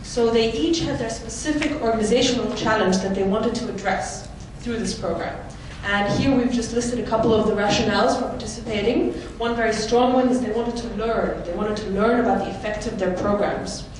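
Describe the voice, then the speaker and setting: A woman from the Netherlands giving a conference presentation, explaining clearly with occasional fillers. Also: on the high side, a little fast, hardly any pauses. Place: large conference hall. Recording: echoey hall, mic from far off, noisy.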